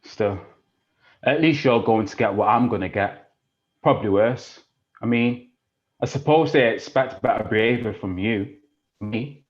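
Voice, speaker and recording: A man's voice: on the low side, a little slow, pauses often, muffled recording, natural voice. A man playing a crude, sneering tough. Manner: swearing, menacing, mocking. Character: rough working-class British boy, aggressive, crude, defensive. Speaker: actor in a stage play. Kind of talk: audio drama acting.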